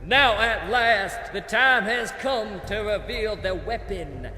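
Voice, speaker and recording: High-pitched, scheming theatrical villain. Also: male voice, slow, sharp tone, heavily acted. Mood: grandiose, menacing. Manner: theatrical, unctuous, pompous. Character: scheming, resentful villain, flattering courtier turned dark warlord. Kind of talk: audio drama acting. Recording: echoey hall reverb with background noise.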